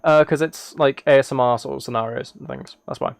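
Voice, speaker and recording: A man's voice: mid-range voice, brisk, creaky, no room sound, clean studio sound. A man chatting casually on a livestream as he plays a game, rambling and thinking aloud. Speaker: live streamer. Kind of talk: casual livestream chat while gaming, reading chat.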